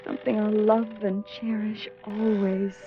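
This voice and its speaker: A woman's voice: mid-range voice, flat delivery, a little slow, music in the background, lightly acted. A woman playing a possessed wife in a horror radio play, menacing and mocking, sliding into whispers and wails. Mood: mocking, contemptuous. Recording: muffled lo-fi radio drama recording.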